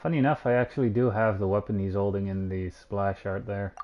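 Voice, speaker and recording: A man's voice: on the low side, fairly even intonation, brisk, dull audio, slight background noise. A man streaming a video game, giving casual play-by-play commentary on what he does. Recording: game music behind the voice. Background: video game music.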